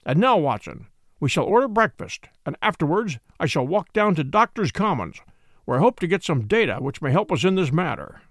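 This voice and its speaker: A man's voice: a little high, big pitch swings, a little fast, dry recording, studio quality. A man voicing a coolly amused, unflappable detective in an audiobook, blandly and wryly polite. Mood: brisk, confident.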